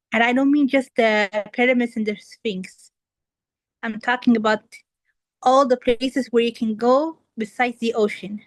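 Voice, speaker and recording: A woman's voice: a little high, no room sound, poor audio. A young woman from Kuwait giving an earnest impromptu speech that addresses the audience directly. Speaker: impromptu speaker. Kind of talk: impromptu table topics speech.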